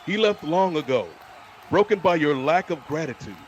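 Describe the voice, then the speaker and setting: A man's voice: a little high, expressive, moderate pace, a faint crowd, lightly acted. Guy doing a theatrical scolding type for a comedy radio play, muffled, bit of noise behind. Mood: solemn, reproachful. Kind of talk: comic audio play acting. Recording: muffled, with faint background noise.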